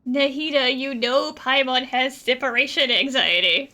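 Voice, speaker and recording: Female gaming streamer: high, bright, forward voice, casual commentary. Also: measured pace.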